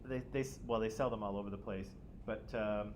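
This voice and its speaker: A man's voice: on the low side, fairly even intonation, brisk. Male teacher, classroom discussion, jokey, tags "right?" constantly, noisy background. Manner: jokey, self-teasing, checks in with "right?" constantly.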